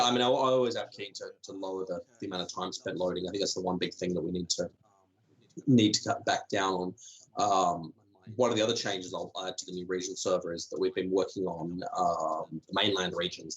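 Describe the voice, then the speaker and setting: Low husky guy talking tech, voice going hoarse, lots of ums, thinks out loud. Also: rough recording. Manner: thinking aloud, frequent ums and restarts. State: losing his voice, clearing throat. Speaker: developer answering community questions. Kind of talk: casual technical Q&A.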